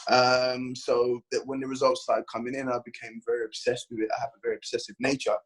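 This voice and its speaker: A man from London with a London accent, a guest bodybuilder chatting on a podcast, rambling and animated as he tells his story. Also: medium pitch, fast, poor audio. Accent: London English. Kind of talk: casual podcast chat.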